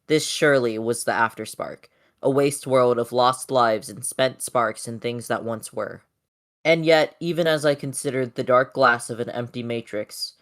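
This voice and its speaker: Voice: mid-range voice, a bit bright, lightly acted. Someone voicing a solemn, weary robot hero. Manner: solemn, measured, formal. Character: weary, dignified heroic robot leader narrating his return. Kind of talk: comic dub, first-person dramatic narration.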